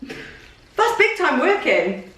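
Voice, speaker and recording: A woman's voice: sits high, very animated, very slow, fairly bright tone. A young woman with a Welsh accent chatting bubbly and giggly in a casual vlog. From Wales. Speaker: vlogger. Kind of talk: casual chat. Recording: echoey room, distant mic, faint hiss.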